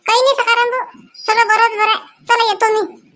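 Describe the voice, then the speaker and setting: Squeaky, flustered Varhadi village husband. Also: level tone, normal speed, bright, forceful tone. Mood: evasive. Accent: Varhadi Marathi. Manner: repeats words hurriedly, defensive. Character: flustered, evasive village husband in a comedy cartoon. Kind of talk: exaggerated cartoon comedy dialogue.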